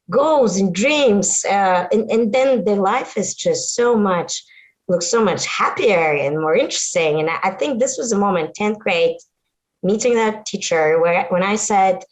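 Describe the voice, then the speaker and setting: A woman in her thirties from Bulgaria with a Bulgarian accent, a physics lecturer telling her story earnestly over a video call, with animated delivery and frequent restarts. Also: medium pitch, poor audio.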